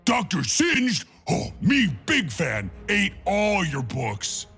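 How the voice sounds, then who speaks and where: A man playing a big, dim-witted, crazed brute who thinks he is a doctor, in a video game, speaking gravelly broken grammar with a booming delivery. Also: wildly swooping pitch, slow, a bit bright, faint music behind, heavily acted. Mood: delighted. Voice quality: gravelly, raspy. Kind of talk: video game character voice lines.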